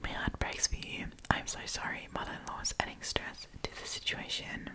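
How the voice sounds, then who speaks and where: A woman hosts an ASMR storytime entirely in a breathy whisper. She talks in a casual, conversational way, freely sharing her opinions as she goes. The delivery stays unvoiced and informal. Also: fairly quick. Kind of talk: whispered ASMR, casual chatty commentary on stories.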